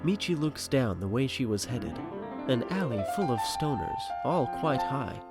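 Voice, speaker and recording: Male storyteller, wry scripted narration, lively swings, medium pitch, music underneath. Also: measured pace. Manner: wry, storytelling asides to listeners.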